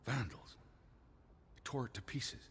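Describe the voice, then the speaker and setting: An actor voicing an earnest, anxious American naturalist of the 1920s in a video game, with a formal, literary way of speaking. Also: male voice, mid-range voice, lively intonation, slow, fairly bright tone, slightly rough, heavily acted. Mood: somber.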